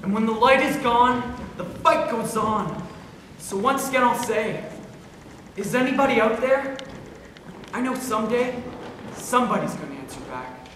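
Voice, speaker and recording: A man's voice: extremely high pitch, lively intonation, moderate pace, fairly bright tone. A man playing an earnest, hopeful young late-night radio host in a stage play. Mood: tender. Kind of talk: theatrical rhyming radio sign-off. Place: stage play recorded in a hall. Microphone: distant camera or room microphone. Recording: very echoey hall, distant mic, noisy.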